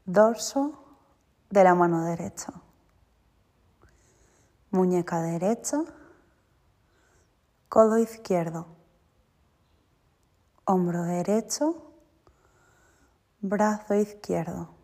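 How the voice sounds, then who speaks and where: A woman guiding a yoga nidra relaxation as a teacher, giving short, soothing, deliberate instructions. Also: lowish voice, warm tone. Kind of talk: guided yoga nidra meditation. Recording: long reverberant hall-like echo.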